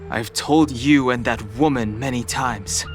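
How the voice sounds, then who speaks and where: A man's voice: mid-range voice, measured pace, natural voice. An actor playing a guarded, stoic young man in an anime game, speaking in a terse, earnest, firm way. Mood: firm, defensive. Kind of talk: dramatic anime game voice acting. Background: cinematic game music.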